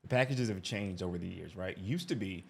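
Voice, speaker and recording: Man, lowish voice, casual reaction commentary, chatty, lots of "right?" tags. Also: a little fast, gravelly, a little mellow, breathy edge. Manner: talkative, fills with "right?" and restarts. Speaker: expat vlogger reacting to a video.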